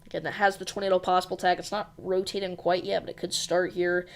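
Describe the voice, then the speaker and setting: A woman hosting a live weather stream, briskly reading out storm warnings in an informal way with some fillers. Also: fairly low pitch, level tone, gravelly. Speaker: weather livestream host. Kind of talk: live severe weather coverage.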